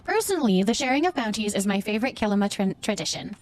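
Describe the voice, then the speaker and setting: A woman's voice: mid-range voice, very animated, fast, lightly acted. A woman reading aloud the lines of a grateful, generous villager in a video game.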